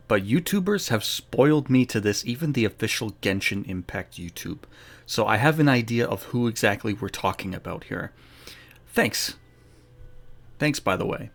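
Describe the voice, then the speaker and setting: A man's voice: on the low side, expressive, moderate pace, vocal fry. A man giving casual, laid-back playthrough commentary on a game, rambling a little and sometimes narrating aloud. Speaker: gaming streamer.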